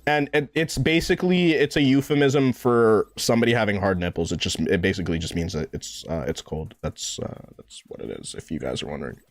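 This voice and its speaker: A man's voice: lowish voice, brisk, few pauses. A man of twenty-eight, chatting casually and a bit tipsy on a gaming live stream, rambling in slang and swearing often. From New York. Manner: rambling, slangy, profane, says "bro" constantly.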